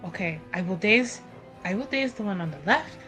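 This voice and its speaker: Lively, bright-voiced woman gamer. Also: medium pitch, brisk, soft background music. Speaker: player in a tabletop game. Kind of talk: casual game chat.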